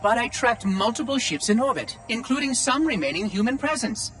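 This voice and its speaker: A man voicing a prim, fretful ancient machine intelligence in a video game cutscene, over a loud score. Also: very high-pitched, lively intonation, brisk, faint music behind.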